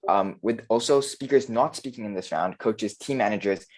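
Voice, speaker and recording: A man's voice: lowish voice, moderate pace. A man acting as moderator of an online debate, giving orderly procedural announcements with frequent fillers, over a video call.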